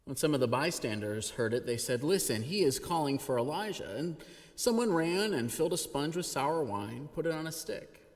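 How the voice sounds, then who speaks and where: A man giving an expressive, dramatic scripture reading as a reader at a church service. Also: fairly high pitch, normal speed. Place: church sanctuary. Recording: reverberant church hall.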